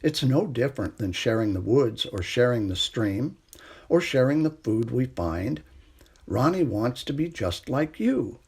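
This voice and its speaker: A man voicing a tender, motherly female raccoon from a children's storybook, gently soothing and reassuring. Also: medium pitch, very animated, measured pace, slightly rough, full character voice. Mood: warm, explaining. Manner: gentle, soothing, warm delivery.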